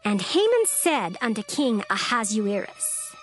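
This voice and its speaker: A woman narrator reading dramatized scripture in a measured, formal storytelling manner. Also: medium pitch, sing-song, faint music behind.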